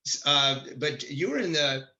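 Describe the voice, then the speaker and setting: Meeting host, man, bright lively voice, dull lo-fi sound. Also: on the high side, normal speed. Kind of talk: casual meeting chat.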